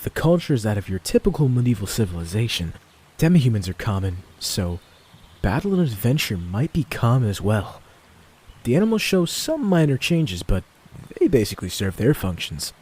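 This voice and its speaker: A man's voice: mid-range voice, big pitch swings, normal speed, darkish tone, husky. An actor playing a flippant, self-mocking young man stranded in a fantasy world, theatrically muttering to himself as in an anime dub. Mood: musing, analytical. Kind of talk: anime dub internal monologue.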